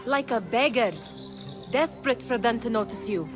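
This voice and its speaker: A woman's voice: a little high, moderate pace, over music, natural voice. An actress playing a sardonic, blunt young woman in a game cutscene, with a dry, taunting manner. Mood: scornful. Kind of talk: cinematic game cutscene acting.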